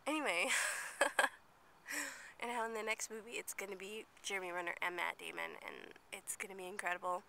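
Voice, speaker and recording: A woman's voice: mid-range voice, fairly even intonation, a little fast, gravelly, bright, forceful tone, a little hiss or hum. A young woman with a cold, chatting in a rambling vlog as she films herself outdoors at night, sometimes dropping to a whisper. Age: young adult. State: sick with a blocked nose. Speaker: vlogger. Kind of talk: casual vlog chat. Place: outdoors at night near a playground and parking lot. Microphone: handheld camera at arm's length.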